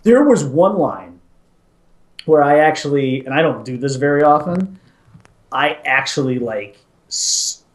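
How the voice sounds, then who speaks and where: A man's voice: on the high side, animated, measured pace, echoey room. A man co-hosting a casual movie talk show, giving his ratings in an enthusiastic, storytelling way. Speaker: podcast co-host, movie reviewer. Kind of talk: casual movie review chat.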